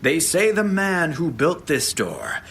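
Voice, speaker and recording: An actor playing a menacing haunted-house tour guide in a horror audio drama, with theatrical, partly whispered delivery. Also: male voice, on the high side, big pitch swings, fairly bright tone, a little hiss or hum, full character voice. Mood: ominous, teasing. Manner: slow, dramatic, with whispered phrases. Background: music.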